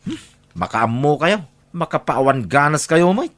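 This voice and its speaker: A man acts as a grown son at the end of his patience in an audio drama. His pitch rises high and swings widely as he nags and scolds. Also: very animated, unhurried, a bit bright. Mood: scolding, indignant. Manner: scolding, nagging, exasperated. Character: exasperated grown son scolding his overly generous father.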